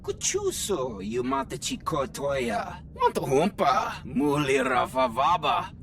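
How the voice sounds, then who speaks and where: Casting: a scheming alien underworld dealer for a game, invented tongue, fry-laden and animated, music underneath. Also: mid-range voice, background noise, exaggerated voice. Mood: bargaining. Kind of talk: video game cutscene dialogue in an invented language. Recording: music behind the voice.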